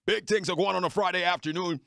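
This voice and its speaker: A man's voice: high voice, fairly even intonation, fast, gravelly, fairly bright tone, no echo. A man hosting live radio, reading sponsor messages and show promos upbeat into a close studio mic. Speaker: radio host. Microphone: broadcast mic on boom arm, close. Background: faint music.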